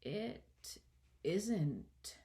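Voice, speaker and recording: A woman voicing a languid, sleepy caterpillar in a children's storybook, curt and contemptuous, with breathy, drawn-out speech. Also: medium pitch, animated, mellow, pitched above the performer's own voice. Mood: languid, stern. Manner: drawn-out, drowsy delivery with terse replies. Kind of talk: children's storybook read-aloud.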